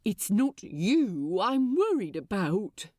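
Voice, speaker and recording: A woman's voice: mid-range voice, big pitch swings, takes it slowly, warm tone, dry recording, clean studio sound. A woman voicing an anxious, prim male angel in an audiobook, with a fussy, flustered and formal manner. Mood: wary.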